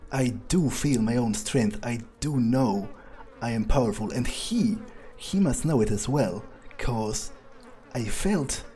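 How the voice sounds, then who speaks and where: A man plays an ambitious, restless vampire thinking out loud during a video game. His voice is mellow and medium in pitch, and he talks slowly and haltingly, with profanity mixed in. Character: proud, ambitious male vampire, restless and power-hungry. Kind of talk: improvised in-character gameplay monologue.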